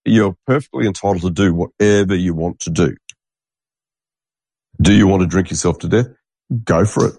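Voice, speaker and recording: A man's voice: low-pitched, normal speed, gravelly, long pauses, darkish tone, husky, no room sound. A man, congested, rambling through a solo podcast monologue and restarting his sentences. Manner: rambling, swears, trails off and restarts. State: congested, stuffy nose. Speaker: podcast host.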